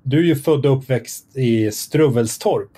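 A man's voice: medium pitch, mellow, slightly rough. A man hosting a podcast, putting questions to his guest in a conversational style. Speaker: podcast host. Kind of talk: conversational interviewing.

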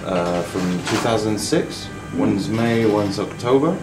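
A man's voice: fairly low pitch, animated, measured pace, music in the background. A man in his thirties who works in a cheese shop, explaining the products in a plain, matter-of-fact way with some hesitation. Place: busy cheese shop, indoors. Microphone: camera mic from a distance. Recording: echoey shop recorded from far off with loud crowd.

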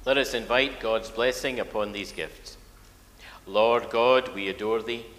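A man's voice: medium pitch, fairly even intonation, measured pace, a bit bright, soft background music. A man, a minister leading a church service. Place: large church. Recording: echoey church, clear background noise.

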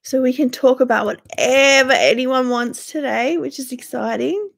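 A woman's voice: high-pitched, normal speed, vocal fry. A young Australian woman in her twenties, hosting a casual livestream from her bedroom, chatting with viewers by name and sounding stuffy from a sore throat. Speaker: livestream host. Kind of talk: casual live-stream chat with viewers. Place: small bedroom indoors.

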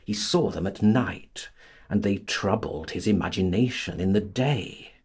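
A man narrating a literary audiobook in a theatrical, expressive, dramatic reading style. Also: lowish voice, unhurried, slightly rough.

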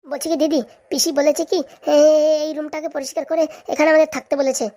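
A woman's voice: high voice, fairly even intonation, fast, warm tone, natural voice. A woman voicing a greedy, scheming village woman in a cartoon comedy, chatty and bickering in colloquial speech.

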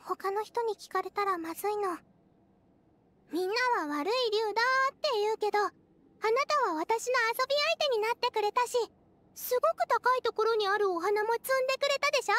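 Casting: kind small girl for a game. Tiny, very high voice, earnest and childlike. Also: fairly quick, a bit bright, no echo. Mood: hushed, caring. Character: kind, earnest little girl, innocent and brave. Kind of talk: scripted game voice acting.

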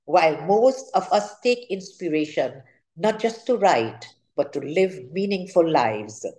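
A woman's voice: fairly low pitch, expressive, unhurried, darkish tone. An older woman with a Trinidadian accent, reading a prepared literary speech in a formal, careful way. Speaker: author giving a literary talk. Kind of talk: prepared speech read aloud. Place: indoor room at home, seated at a table.

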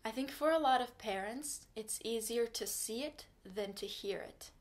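A woman's voice: on the high side, normal speed. Young woman, native Turkish speaker, earnest and frank, casual bedroom vlog to camera. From Turkey. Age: young adult. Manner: earnest, frank, advice-giving. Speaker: vlogger. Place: small bedroom indoors. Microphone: camera in front at desk distance.

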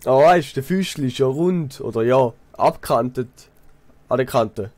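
A man's voice: medium pitch, moderate pace, darkish tone. A young man with a Swiss German accent chatting casually over a game, telling stories in a rambling, repetitive way. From Switzerland. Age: young adult. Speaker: let's player, apprentice builder. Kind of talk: casual chat while gaming.

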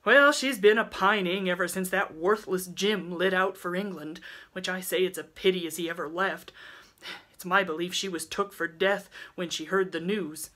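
A woman's voice: on the low side, fairly even intonation, normal speed, pushed and bright. Storybook character: truculent, callous old rural woman, rustic and blunt, played by a female reader. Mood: gossipy, blunt. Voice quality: grunting.

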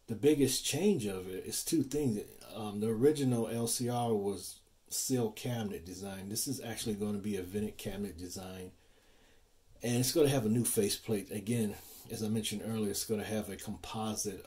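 A man giving a casual explainer as a loudspeaker designer, speaking informally and haltingly, often repeating himself. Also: lowish voice. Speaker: loudspeaker designer presenting own build.

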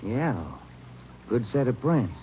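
An actor playing a wry, plain-spoken police detective sidekick in old radio drama style, on a vintage radio recording. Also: male voice, medium pitch, big pitch swings, slow pace. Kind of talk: 1940s-50s radio acting. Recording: dull, noisy old-time radio recording.

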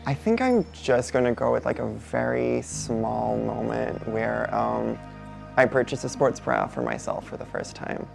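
Non-binary interviewee, 20s, male-range voice with a gravelly edge, reflective and unhurried, faint music underneath. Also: mid-range voice, animated. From Barbados. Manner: thoughtful, unhurried, many soft fillers. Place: indoor studio before a painted backdrop.

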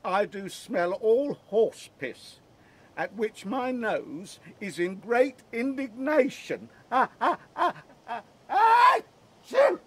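Very high-pitched silly drunken jester. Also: male voice, very animated, slow, a bit bright, a little hiss or hum, full character voice. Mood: indignant. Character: Trinculo, silly excitable drunken male jester. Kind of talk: Shakespearean audio drama.

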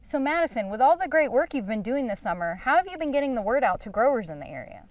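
A woman's voice: fairly high pitch, sing-song, fast talker, darkish tone, no room sound. A woman in her thirties hosting an explanatory field interview, speaking into a clip-on mic outdoors. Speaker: host, agricultural technical service manager. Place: outdoors in an open peanut field. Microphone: clip-on lavalier.

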